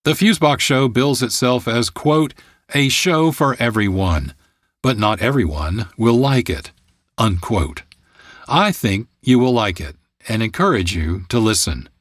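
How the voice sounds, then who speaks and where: A man presenting a radio show as host from a script, measured and articulate. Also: medium pitch, lively intonation, fairly bright tone, no room sound, studio quality. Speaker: producer and host.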